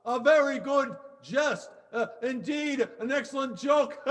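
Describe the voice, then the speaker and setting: A doomed, drunken nobleman in a horror drama, pleading and exclaiming haltingly in a very high voice. The delivery is drawn out at a crawl, with a bright, strained edge and long hollow reverb. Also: lo-fi sound, heavily acted. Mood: desperate forced mirth. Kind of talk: theatrical horror audio-visual drama. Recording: long hollow reverb, video-call stage reading.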